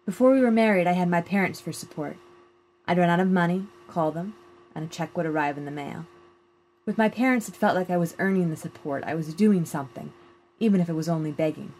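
A woman reading a first-person literary short story aloud in a measured, plain storytelling manner. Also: fairly low pitch, darkish tone, poor audio. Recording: clear background noise.

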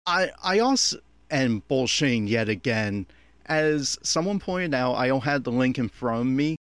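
A man's voice: medium pitch, lively intonation, a little slow, fairly bright tone, clear, resonant tone, no echo. A man giving an unscripted running commentary in a critical, exasperated, opinionated manner. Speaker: commentator on an anime episode.

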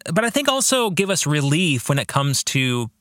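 A man with a bright, forward voice talks through a book as a podcast host and pastor. His pitch moves widely as he stresses key words, and he takes his time with earnest pauses. The sound is dry and close. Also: very animated, dry recording. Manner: earnest, emphatic, deliberate pauses. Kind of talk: reflective book discussion with read-out passages.

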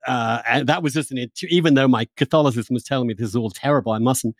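Man interviewing, halting and conversational, dry sound. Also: mid-range voice, no echo. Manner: halting, repeats words while forming questions; candid, informal. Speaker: podcast host, interviewer. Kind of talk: conversational long-form interview.

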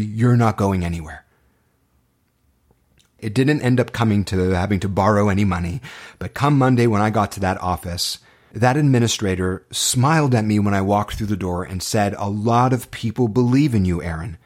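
A man's voice: on the low side, darkish tone. Impassioned man teaching through personal stories. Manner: earnest, impassioned, dramatic emphatic phrasing. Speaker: acting teacher. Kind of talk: motivational personal storytelling to students.

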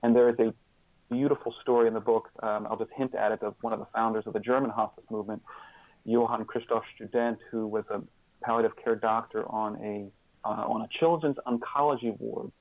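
A man being interviewed as a guest, speaking reflectively and haltingly, often repeating words and restarting his sentences. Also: on the low side, steady tone, no room sound, phone-quality sound.

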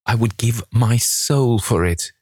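A man's voice: deep voice, steady tone, normal speed, a little mellow, slightly rough, dry recording, studio quality. A man voicing a vain, petulant young man in an impassioned, dramatic reading of a novel. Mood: desperate pleading. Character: petulant, anguished young man, vain about his beauty.

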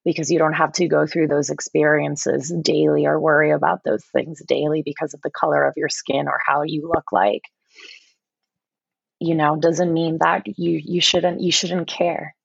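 Low, gravelly woman from Puerto Rico. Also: level tone, moderate pace, dry recording, muffled recording. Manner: earnest, passionate, repeats words when starting sentences. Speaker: podcast guest, yoga teacher. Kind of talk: casual podcast conversation.

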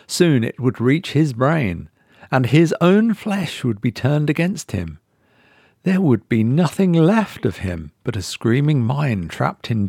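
A man reading a horror short story as narrator, in a measured, suspenseful dramatic style. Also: medium pitch, darkish tone, breathy edge, no echo, studio quality.

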